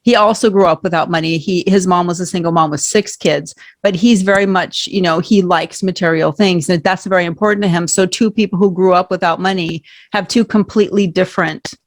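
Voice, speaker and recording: A woman's voice: on the low side, fairly even intonation, fairly quick, hardly any pauses, no echo. A woman from Norway, a guest on an interview podcast, talking candidly and rambling a little. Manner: candid, rambling, laughs often. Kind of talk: casual interview talk.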